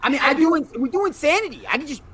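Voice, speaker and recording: A man's voice: extremely high pitch, rapid-fire. A man in his twenties co-hosting a casual sports chat, joking and bantering with slang. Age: 20s. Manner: animated, jokey, slangy banter. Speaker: co-host.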